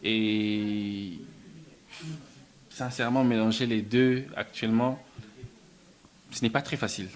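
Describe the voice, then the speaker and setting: Guy from belgium chatting in an interview, lowish voice, trips over his words a lot. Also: steady tone, slow, long pauses. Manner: hesitant, many repeated words and restarts, soft-spoken. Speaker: musician and film writer, interview guest. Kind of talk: casual interview answers.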